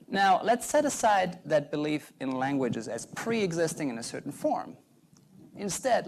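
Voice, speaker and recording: A young adult man, a native German speaker, giving an earnest prepared talk in measured phrases. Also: on the high side, gravelly, a little mellow, slight background noise. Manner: earnest, measured, pauses between short phrases. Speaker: doctoral researcher giving a talk.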